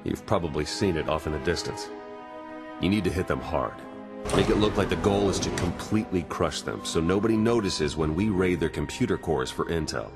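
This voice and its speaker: Steady, serious strategist ally, low-pitched and instructive, music underneath, for a video game. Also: male voice, fairly quick.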